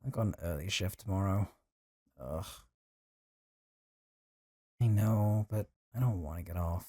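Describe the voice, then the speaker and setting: A man's voice: low voice, a little slow, warm tone, dry recording, clean studio sound. A man acting a possessive, lovesick young man in an intimate audio roleplay, talking in a casual, teasing, conversational way with sighs. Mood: fond, teasing. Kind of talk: intimate audio roleplay drama, one side of a call.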